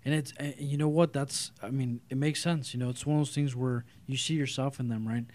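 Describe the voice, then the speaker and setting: A man's voice: medium pitch, steady tone, a little fast, darkish tone. A man hosting an interview, talking in a friendly, affirming, conversational way. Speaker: interview host. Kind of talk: casual interview talk.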